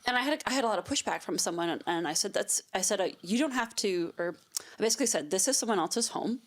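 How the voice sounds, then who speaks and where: A woman's voice: mid-range voice, expressive, fast talker. A woman, a real estate agent, firmly recounting her own experiences in casual podcast chat.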